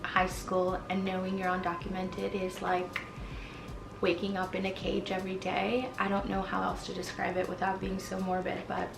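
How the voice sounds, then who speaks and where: Gravelly twenty-something woman, roomy room. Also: mid-range voice, monotone, moderate pace, far from the mic, noisy background. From Mexico. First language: Spanish. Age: 20s. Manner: candid, chatty, frequent fillers. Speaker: vlogger. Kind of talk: casual chat to camera. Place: her apartment.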